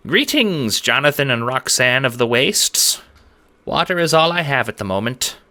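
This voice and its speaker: A man voicing a small, timid, squeaky-voiced shelled farmer creature in a read-aloud story. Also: big pitch swings, normal speed, bright, forceful tone. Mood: polite.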